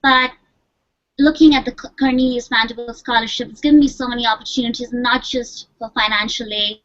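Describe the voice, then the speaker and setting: A young university student from India speaks on a panel in an upbeat, chatty way. Her voice sits a little high. The audio is dry and dull, like a video call. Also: steady tone, fairly quick, fairly bright tone, no room sound. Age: young adult. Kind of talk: casual panel talk.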